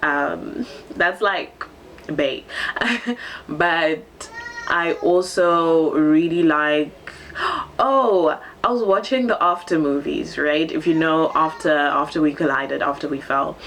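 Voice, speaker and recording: A woman's voice: low-pitched, a bit bright, slight background noise. A seventeen-year-old girl, originally from Zambia and raised in Cape Town, chatting casually in a get-to-know-me vlog, bubbly and full of ums. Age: teens. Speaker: vlogger.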